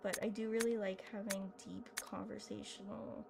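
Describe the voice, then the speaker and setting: A woman's voice: mid-range voice, flat delivery, moderate pace, creaky, heavy background noise. A young woman hosting a gaming video, chatting casually and rambling with lots of fillers while she plays. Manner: chatty, rambling, self-deprecating, many fillers. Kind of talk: casual commentary while playing. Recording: loud game music behind voice.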